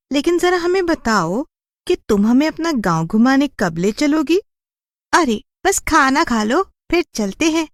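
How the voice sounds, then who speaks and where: Woman as a high-pitched, teasing young village woman. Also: no echo, clean studio sound. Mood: cheerful.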